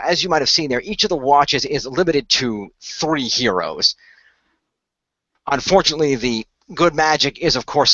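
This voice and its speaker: A man playing a composed, knowledgeable leader of a secret hero team, speaking in measured, formal explanations. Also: medium pitch, bright, forceful tone, no echo. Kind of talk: amateur radio play acting. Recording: dry, slightly muffled home recording.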